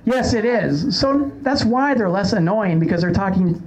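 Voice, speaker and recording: A man's voice: high-pitched, animated, normal speed, darkish tone, soft background music, rough recording. A man hosting a podcast in casual conversation, with a sarcastic, wry way of talking. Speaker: podcast host. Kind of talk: casual chat.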